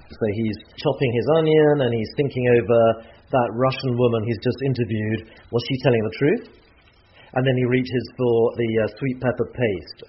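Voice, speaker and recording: A man's voice: mid-range voice, moderate pace, lo-fi sound, a little hiss or hum. An English man, an author, talking enthusiastically and chattily while cooking in a home kitchen. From England. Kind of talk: casual interview talk while cooking.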